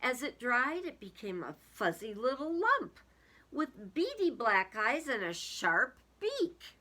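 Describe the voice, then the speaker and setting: A woman reading a picture book aloud to young children in an expressive, emphatic way, with explanatory asides. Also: a little high. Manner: deliberate, emphatic, dramatic word-by-word delivery.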